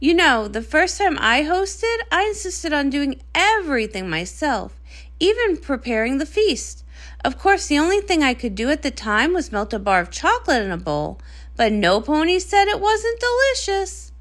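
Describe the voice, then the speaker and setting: A woman voicing a gracious, brisk middle-aged pony queen and mother, speaking in a regal, polished, encouraging manner. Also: high-pitched, big pitch swings, a bit bright. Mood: fond, cheerful.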